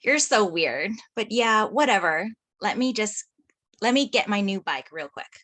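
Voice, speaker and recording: A woman reading a quirky, lazy teenage best friend at a table read, playful and lively. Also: medium pitch, a little slow.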